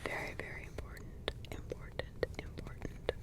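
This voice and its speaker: A woman voicing a protective witch grandmother in an ASMR roleplay, in a soft whisper with slow, rambling, repetitive asides. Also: close to an everyday voice. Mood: hushed, caring. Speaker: ASMR creator.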